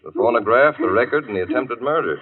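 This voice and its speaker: A man's voice: medium pitch, lively intonation, fast talker, breathy edge, narrow, tinny audio. An actor playing a cocky, easygoing undercover policeman in a 1940s radio drama, on a vintage recording. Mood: reassuring, explaining. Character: cocky, calm undercover policeman romancing the heroine.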